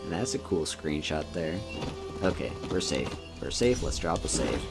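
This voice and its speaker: A man streaming a video game, chatting casually in a relaxed, soft-spoken and musing way as he plays. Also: a bass voice, normal speed. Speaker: VTuber streamer. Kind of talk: casual gameplay commentary. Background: game music.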